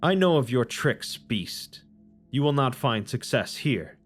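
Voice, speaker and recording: A stern woman saint, bound by honor, read aloud by a man. Her words come out solemn and deliberate at an unhurried pace, with faint music in the background. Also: mid-range voice, animated. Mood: guarded, stern.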